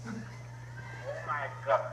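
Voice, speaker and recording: A man's voice: slow, sharp tone. A man on a telephone call, speaking hesitant, non-fluent English in a confused, questioning way. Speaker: call recipient. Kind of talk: confused phone conversation. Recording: echoey, noisy telephone line.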